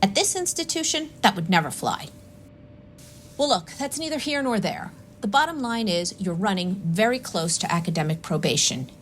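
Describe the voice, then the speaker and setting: An actress playing a stern, unsympathetic academic adviser in an audio drama, firm and official in manner. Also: female voice, medium pitch, lively intonation, moderate pace, a little hiss or hum, lightly acted. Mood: stern, admonishing.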